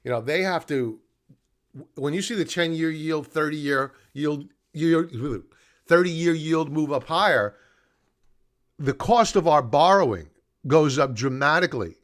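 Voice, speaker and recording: A man's voice: on the high side, a little slow. A middle-aged man hosting a live market analysis stream, talking off the cuff and explaining in a conversational, stop-and-start way. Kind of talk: unscripted live commentary, chatting to viewers.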